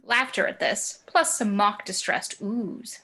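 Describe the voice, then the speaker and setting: A woman reading screenplay action descriptions as narrator in a table read over a video call, in deliberate short phrases. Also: medium pitch, expressive, pushed and bright, slightly rough. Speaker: stage-direction narrator.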